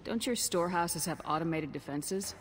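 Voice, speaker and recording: An actress playing a composed human military commander in a video game, direct and questioning. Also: female voice, on the low side, moderate pace, lightly acted. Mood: composed, inquiring. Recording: clear ambient background noise.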